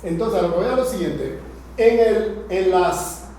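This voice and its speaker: High-voiced male lecturer in an echoey room. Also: lively intonation, unhurried, dark tone. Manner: didactic, emphatic, punctuates points with check-in tag questions. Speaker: lecturer teaching a class. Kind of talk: improvised academic lecture. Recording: very echoey room, faint noise.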